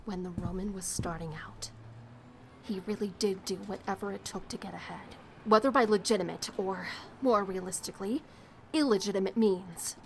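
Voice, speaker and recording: Over clearly noisy ambience, an actress plays a jaded, streetwise woman in an audio drama. Her voice sits at a middle pitch, and she speaks with wry, bitter scorn, dropping into breathy, confiding asides. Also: normal speed, natural voice. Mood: scornful.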